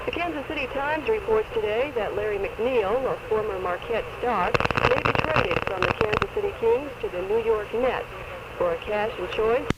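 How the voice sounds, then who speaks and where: A man's voice: sits high, normal speed, lo-fi sound. Man, radio sports news reader, scripted delivery, very noisy 1970s AM taping.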